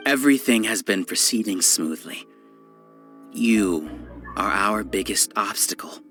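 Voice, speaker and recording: A scheming scholar villain. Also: male voice, fairly low pitch, animated, fairly bright tone, faint music behind. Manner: measured, lecturing, condescending. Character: scheming male scholar villain, cold and self-justifying. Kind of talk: game voice acting.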